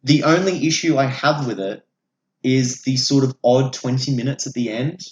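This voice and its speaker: A man's voice: mid-range voice, moderate pace, lo-fi sound. A man, an opinionated podcast host who swears freely while casually discussing films. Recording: echoey room, audible background noise.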